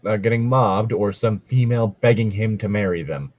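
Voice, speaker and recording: A man's voice: fairly low pitch, moderate pace, darkish tone, dry recording. A man doing casual comedic commentary as a co-host reading fan fiction aloud, sweary, mocking and exasperated. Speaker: podcast co-host.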